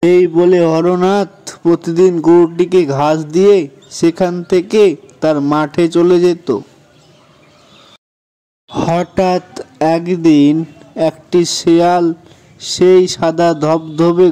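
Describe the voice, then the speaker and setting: Slow male storyteller, fairly high mellow voice. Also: rough recording. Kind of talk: scripted children's story narration.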